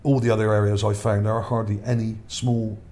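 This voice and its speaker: Male host, low mellow voice going hoarse, rambling delivery, faint hiss behind. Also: flat delivery, brisk. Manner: rambling, repeats words, checks in with "okay?". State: voice starting to go. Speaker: channel host. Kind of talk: casual narration over images, reading his article.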